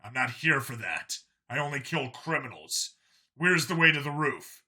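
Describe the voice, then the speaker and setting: A man's voice: medium pitch, animated, normal speed, pushed and bright. Man playing a hard, curt human cop.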